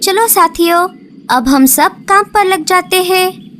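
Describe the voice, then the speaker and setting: Very high-pitched little cartoon bird, done by a woman. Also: slow pace, a bit bright, heavily acted. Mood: exclaiming, eager. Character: friendly excitable female cartoon birds. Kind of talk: Hindi cartoon character voice. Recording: noisy background.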